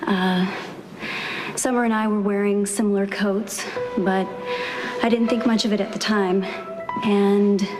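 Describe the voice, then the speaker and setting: An actress playing an earnest, composed young witness who recalls events in a measured way in a soap drama. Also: female voice, medium pitch, close to an everyday voice, low-quality recording. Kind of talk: television soap drama acting.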